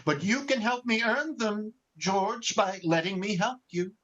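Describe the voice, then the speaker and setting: A man playing a gentle, earnest, bumbling guardian angel with a hesitant, genteel manner in 1940s radio drama style, recorded over a video call. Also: high-pitched, unhurried. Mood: proud, kindly. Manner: hesitant, old-fashioned, genteel. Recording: dull, muffled video-call audio.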